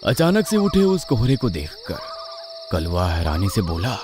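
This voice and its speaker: A man's voice: on the low side, huge pitch swings, a little slow, background noise. A man telling a scripted horror story as a dramatic narrator. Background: music.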